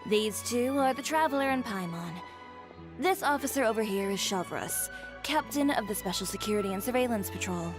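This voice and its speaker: A woman's voice: mid-range voice, lively intonation, brisk, natural voice. Woman as cool, blunt young woman, music behind. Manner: blunt, dry, clipped. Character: cool, blunt, self-assured young woman boutique owner. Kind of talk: video game voice acting. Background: game music.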